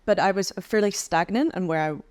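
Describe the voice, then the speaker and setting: A woman from the UK, a podcast guest, speaking thoughtfully with frequent pauses and restarts. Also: lowish voice, a little mellow, clean tone, no echo. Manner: thoughtful, hesitant with many ums and restarts. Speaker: podcast guest, head of communications. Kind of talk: casual interview chat.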